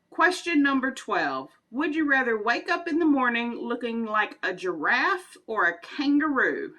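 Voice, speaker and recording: Chatty woman in her forties, bright voice, rambling and giggly vlog talk. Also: a little high. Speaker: vlogger. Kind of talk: casual chat answering would-you-rather questions.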